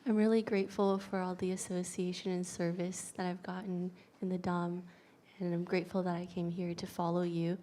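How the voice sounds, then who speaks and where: An American woman giving a grateful personal testimony, soft-spoken and steady with small fillers. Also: fairly low pitch, brisk, few pauses, a little hiss or hum. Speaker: pilgrim sharing reflections.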